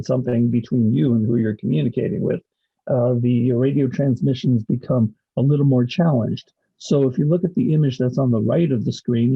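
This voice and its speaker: A man giving an informal instructional slide presentation, explaining things in a halting way with pauses mid-sentence. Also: medium pitch, gravelly, husky, muffled recording. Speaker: college instructor and radio club advisor giving a presentation.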